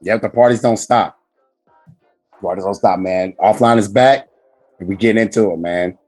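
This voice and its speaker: A man hosting a casual podcast chat with a hoarse, raspy voice from screaming, talking loudly and emphatically with lots of swearing. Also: fairly low pitch, moderate pace, faint music behind. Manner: loud, profane, emphatic. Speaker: podcast host.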